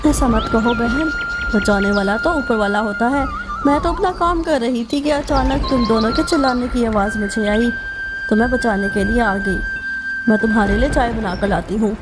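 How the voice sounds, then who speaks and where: A woman's voice: a little high, normal speed, loud music behind the voice, close to an everyday voice. A woman voicing a kind, reassuring neighbour bird in a cartoon, modest and comforting.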